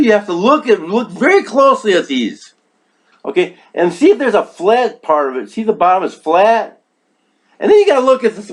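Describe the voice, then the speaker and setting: High-pitched man explaining gadgets. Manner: repetitive, emphatic, checks in with "okay?" and "right?". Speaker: product reviewer. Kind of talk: casual instructional product demonstration.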